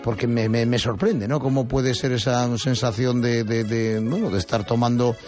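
A man's voice: medium pitch, steady tone, moderate pace, darkish tone, faint music behind. A man hosting a radio show, chatting and reading news with many fillers and restarts. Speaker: radio host. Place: radio studio.

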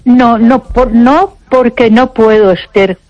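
A woman's voice: a little high, steady tone, takes it slowly, darkish tone, no room sound, muffled recording. An older woman from Barcelona calling a radio show, talking in an emphatic, repetitive way with frequent exclamations. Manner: emphatic, repeats herself, frequent ay interjections. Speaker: radio caller. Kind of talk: casual phone-in chat.

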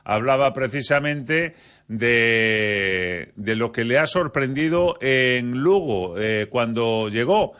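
Bright-voiced Spanish man presenting sports news. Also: medium pitch, animated. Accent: Spanish, from Spain. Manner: halting delivery with frequent hesitations. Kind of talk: radio sports news bulletin.

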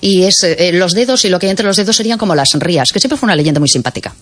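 Woman, radio host, brisk chatty delivery, dry studio mic. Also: lowish voice, dry recording. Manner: lively and chatty. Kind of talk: radio interview. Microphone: studio microphone.

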